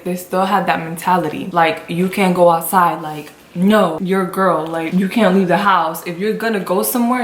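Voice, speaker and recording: A woman's voice: fairly low pitch, a bit bright. A young woman from Colombia telling a casual story-time for a couple's vlog, chatty and full of detail, with many "like"s. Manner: chatty, explains in detail with lots of "like". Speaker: couple vlogger. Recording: echoey room with light noise.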